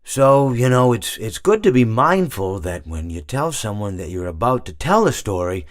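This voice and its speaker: Game role: aged hippopotamus animatronic. Meandering, hesitant, mild-mannered storyteller, very animated intonation, recorded close and dry. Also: male voice, lowish voice, normal speed, dry recording. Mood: meandering reminiscence. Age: older. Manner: rambling, hesitant, absent-minded, self-correcting. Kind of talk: character monologue for a video game.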